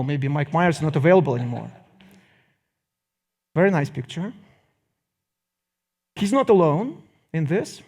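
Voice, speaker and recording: Higher-voiced Russian-accented man giving a lecture. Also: darkish tone. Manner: deliberate phrasing with dramatic pauses and wry asides. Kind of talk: public lecture.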